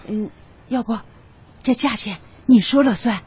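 A woman's voice: on the high side, a little slow, mellow, breathy edge. An actress playing a persistent, doting middle-aged mother, fussy and eager, in a radio drama. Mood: coaxing. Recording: slightly dull audio, music behind.

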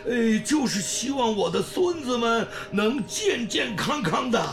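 An actor playing an ailing, grateful old village grandfather in a radio drama, halting and sighing. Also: very high voice, lively intonation, faint music behind. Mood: grateful, sorrowful. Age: older.

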